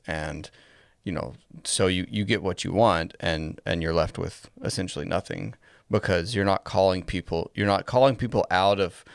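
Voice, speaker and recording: A man with a low, gravelly voice speaks as a podcast co-host in a close, dry sound. He is deliberate and conversational, often pausing partway through a thought to choose a word. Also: no echo. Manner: deliberate, pausing mid-sentence to find words. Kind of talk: casual discussion of faith and culture.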